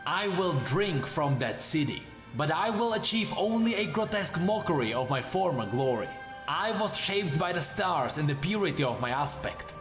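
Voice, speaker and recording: A man voicing a bitter, brooding ancient fallen warrior demon in a slow, solemn, theatrical monologue. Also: on the high side, big pitch swings, roomy sound, over music. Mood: bitter grandeur.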